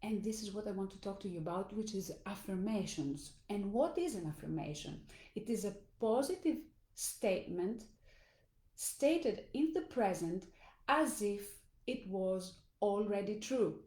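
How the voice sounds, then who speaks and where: A Greek woman coaching in her second language talks earnestly and slowly to her audience. Her voice is mellow and relaxed, sitting at an ordinary pitch, with the odd small restart. The room around her is echoey. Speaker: life coach. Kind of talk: motivational talk to camera. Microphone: phone or camera in a live stream.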